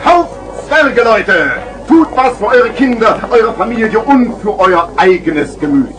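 A man's voice: sits high, expressive, unhurried, over music, low-quality recording. A man playing a boisterous street hawker with theatrical, wheedling patter in a vintage children's radio play. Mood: exasperated, pleading. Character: boisterous male street hawker selling garden gnomes. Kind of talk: 1970s German children's radio play acting.

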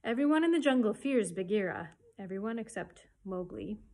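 A woman's voice: mid-range voice, normal speed, husky. A woman voicing a proud, headstrong young boy in a storybook, speaking earnestly and insistently. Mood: defiant, boastful. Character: proud, headstrong human boy raised by wolves.

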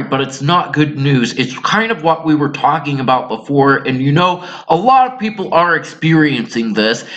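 A man playing a bland, bright-voiced funeral director. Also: mid-range voice, close to an everyday voice. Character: blandly apologetic, tactless male funeral director in suit.

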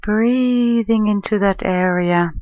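A woman leading a guided meditation in a gentle, soothing, unhurried manner, leaving long pauses between phrases. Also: mid-range voice, no room sound, muffled recording. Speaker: meditation guide, life coach and therapist.

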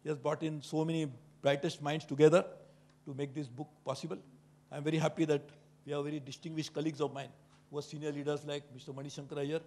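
Middle-aged man, slightly high voice, formal book-launch speech on handheld mic. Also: level tone, fast talker, a little mellow. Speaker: panel speaker. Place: conference hall with an audience. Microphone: handheld microphone.

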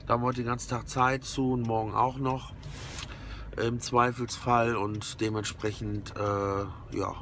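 A man's voice: medium pitch, little pitch movement, a little slow, a bit bright. A man in his forties with a slightly sore throat, talking casually to his phone from inside a car in a rambling way with many hesitations. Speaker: musician keeping a recording diary. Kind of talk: casual video diary. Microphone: phone camera at arm's length. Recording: phone in a car, audible road noise. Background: car and road noise.

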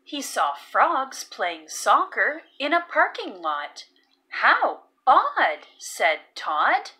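A woman reading a children's picture book aloud and teaching phonics, expressive and deliberate, with sing-song emphasis on key words. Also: high-pitched. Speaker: children's read-aloud narrator.

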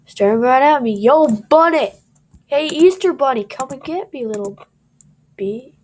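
A woman's voice: sits high, lively intonation, measured pace, a little mellow. A young woman with a scratchy voice doing casual gameplay commentary, jittery and cursing as she talks to viewers.

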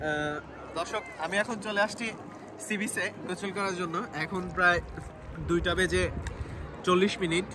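A man's voice: high voice, steady tone, normal speed, pauses often, music in the background. A young man from Bangladesh talking casually to his phone camera while standing in the sea, loose and chatty, with many fillers and English words mixed in. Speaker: travel vlogger. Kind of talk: casual travel vlog to camera. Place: outdoors in the sea and on a beach. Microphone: phone held at arm's length. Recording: windy beach noise and surf.

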